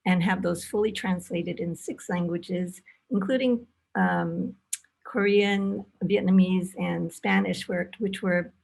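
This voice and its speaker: Female faculty presenter for an online webinar. Medium pitch, some gravel, measured and halting. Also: rough recording. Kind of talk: webinar presentation, introducing and thanking. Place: online video call.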